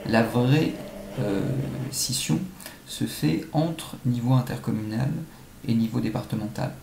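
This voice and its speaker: A man giving measured, analytical answers as an academic expert being interviewed, with some halting phrasing. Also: mid-range voice. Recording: echoey room, faint-to-clear background noise.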